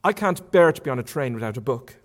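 A man's voice: medium pitch, lively intonation, quick pace, natural voice. A man reading aloud who voices a forthright, chatty young woman with a lifted, lilting tone and emphatic questions. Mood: teasing. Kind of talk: author reading a novel aloud.

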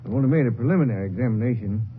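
Man playing a brisk medical examiner, old radio. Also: medium pitch, lively intonation, lightly acted. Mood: businesslike. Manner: brisk, precise, professional. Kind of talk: 1950s radio drama acting. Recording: muffled old radio recording, faint noise.

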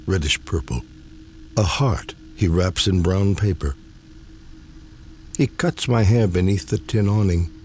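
A man reading a literary poem aloud with measured, deliberate phrasing. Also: low-pitched, a little mellow, a little hiss or hum. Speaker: poetry reader.

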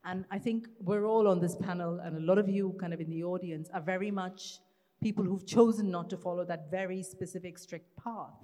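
A woman's voice: on the low side, steady tone, fairly quick, mellow. A woman moderating a live panel, introducing guests and asking questions in an upbeat way. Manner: upbeat, laughs at her own stumbles. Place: live event hall with audience. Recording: reverberant hall.

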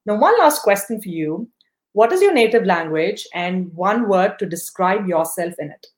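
A woman's voice: mid-range voice, animated, moderate pace. A woman hosting a podcast interview, encouraging and praising her guest, with many fillers. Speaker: podcast host.

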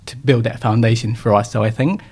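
Gravelly, husky man from Thailand. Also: medium pitch, fairly even intonation, a little fast, a little mellow. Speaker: podcast guest. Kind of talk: casual interview conversation.

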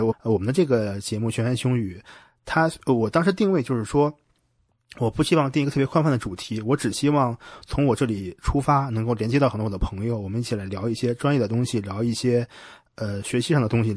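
A man's voice: medium pitch, fast, darkish tone, breathy edge, dry recording, clean studio sound. A man hosting a podcast in a casual, conversational style, often hesitating and rephrasing as he goes. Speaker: podcast host.

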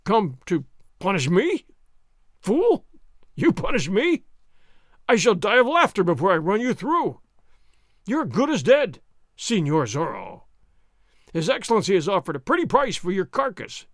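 A blustering, boastful army sergeant, as a man puts him on for a storybook reading, pushing his voice high and loud. He sneers and taunts, swinging wildly up and down in pitch. The sound is dry and close. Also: huge pitch swings, moderate pace, dry recording, exaggerated voice. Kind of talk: audiobook character reading.

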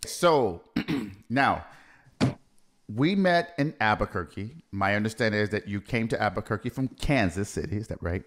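A man's voice: fairly low pitch, expressive, moderate pace, a bit bright. A man hosting a casual podcast, asking a guest questions in a playful, teasing way. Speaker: podcast host. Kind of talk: casual interview chat.

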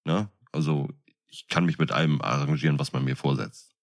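A man's voice: very deep voice, fairly even intonation, a little fast. A man co-hosting a podcast in casual chat, with a jokey, colloquial, buddy-like way of talking. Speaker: podcast co-host.

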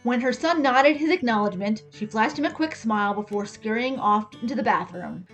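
A woman giving an expressive, scripted reading of a story as its narrator. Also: on the high side, fairly quick, lo-fi sound. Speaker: story reader. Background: music with guitar.

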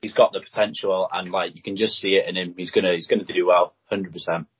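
A man's voice: low voice, quick pace, husky. A young man from Anglesey with a Welsh accent, whose first language is Welsh, chatting casually as a guest wrestler with many fillers. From Anglesey, Wales. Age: young adult. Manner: relaxed, lots of fillers and "like". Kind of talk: casual interview chat. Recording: dull lo-fi audio.

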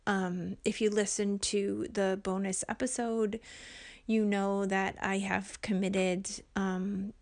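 A woman speaks slowly and unhurriedly, her voice soft and hushed, often dropping to a near whisper. She hosts her own podcast, recorded at home late at night, and talks in an earnest, gentle way with plenty of ums. Her pitch sits in the middle of the range. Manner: gentle and earnest, with frequent ums and light laughs. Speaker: podcast host and life coach. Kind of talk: casual solo podcast talk.

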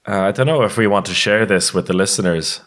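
A man's voice: fairly low pitch, quick pace, a bit bright. A man hosting a casual sports podcast, chatting with his co-hosts. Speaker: podcast host.

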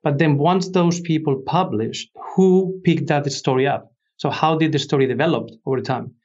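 A man's voice: on the high side, normal speed, darkish tone, highs cut off. A man speaking as an expert interview guest, explaining conversationally and posing questions that he then answers.

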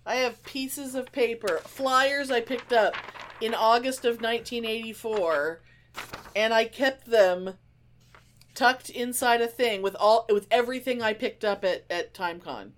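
A middle-aged woman co-hosting a podcast in casual chat, halting and repeating herself as she goes. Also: on the high side, a little hiss or hum. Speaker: podcast co-host.

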